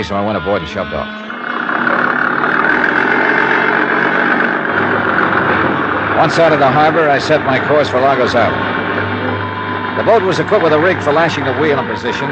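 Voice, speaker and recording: A man's voice: mid-range voice, lo-fi sound, lightly acted. An American man playing a hard-boiled, wry investigator in a vintage radio drama, with a clipped, dry way of talking. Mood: matter-of-fact narration. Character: hard-boiled, wry American male insurance investigator narrating his case. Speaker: lead actor and narrator. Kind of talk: old-time radio detective acting. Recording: noisy vintage radio recording.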